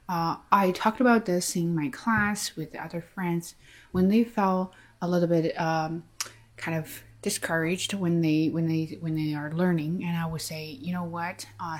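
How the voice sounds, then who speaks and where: A woman from China with a Chinese accent, an English teacher talking through personal thoughts in a soft, hesitant way with many fillers and restarts. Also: lowish voice. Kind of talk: reflective personal monologue.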